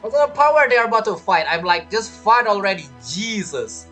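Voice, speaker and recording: A man's voice: high voice, big pitch swings, normal speed, sharp tone, soft background music. A man giving casual, slangy, jokey commentary as he plays a video game. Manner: jokey, acts out imagined quotes, slangy. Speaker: game streamer.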